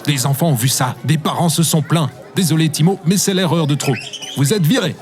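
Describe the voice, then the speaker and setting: A man's voice: fairly high pitch, a little fast, close to an everyday voice. An actor playing a stern, exasperated male toy shop boss in a French audio drama, curt and formally reproving. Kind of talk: French audio fiction acting. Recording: faint music behind the voice. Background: music.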